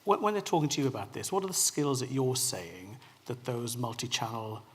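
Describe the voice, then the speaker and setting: A man's voice: medium pitch, very animated, fairly quick, slightly rough. A man moderating a panel discussion, putting questions to the panelists by name. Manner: probing, addresses panelists by name.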